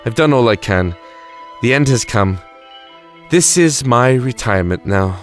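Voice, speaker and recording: A man's voice: medium pitch, animated, unhurried, faint music behind, natural voice. A man playing a weary, grandiose time-traveling alien emperor in an audio drama, self-justifying and reflective. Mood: weary, resigned.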